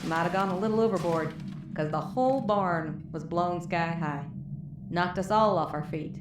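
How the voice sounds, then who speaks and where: Tough, low-voiced Southern wasteland woman played by an actress. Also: normal speed, music in the background, close to an everyday voice. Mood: wry, weary. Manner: folksy, profane, weary storytelling. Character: tough, bitter, foul-mouthed wasteland moonshiner woman, wounded and fading. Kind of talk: dramatic first-person storytelling in audio drama. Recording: roomy echo, clear background noise.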